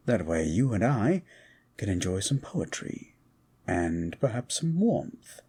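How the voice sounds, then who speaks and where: A man's voice: fairly low pitch, a little mellow, slightly rough, full character voice. A man voicing a courtly, bookish mutant scientist beast in a romantic audio drama, speaking tenderly with eloquent, theatrical phrasing.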